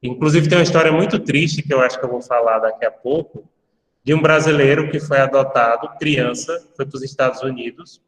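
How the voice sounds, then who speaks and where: A man's voice: medium pitch, fairly quick. A male professor with a Brazilian accent lecturing his class, explaining at length and often checking in with tag questions. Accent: Brazilian Portuguese. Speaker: university law professor. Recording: echoey hall-like sound, distant from mic.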